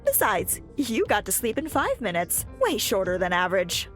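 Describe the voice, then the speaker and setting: A woman's voice: mid-range voice, very animated, moderate pace, faint music behind, close to an everyday voice. A woman playing a quirky, analytical young woman in an anime-style audio drama, precise and pedantic. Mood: matter-of-fact.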